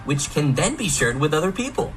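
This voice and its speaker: A man presenting scripted game announcements in an explanatory, measured way. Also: on the high side, very animated, slight background noise. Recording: music playing behind the voice.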